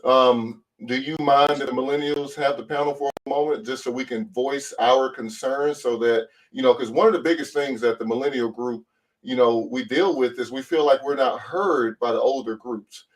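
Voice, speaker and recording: A polite, earnest man in his late twenties speaks up as a guest on a casual panel. His voice sits at a mid pitch. He sounds a little distant from the microphone. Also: normal speed. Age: 20s. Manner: polite, earnest, asks questions. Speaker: panel guest, channel host.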